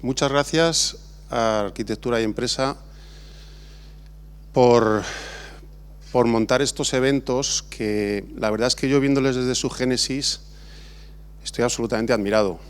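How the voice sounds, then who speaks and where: A man's voice: mid-range voice, fairly even intonation, unhurried, pauses often, clear, resonant tone. Spanish man giving a rambling award speech. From Spain. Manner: rambling, many restarts and fillers. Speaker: architect giving an award acceptance talk. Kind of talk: informal speech at an awards ceremony. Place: large exhibition hall.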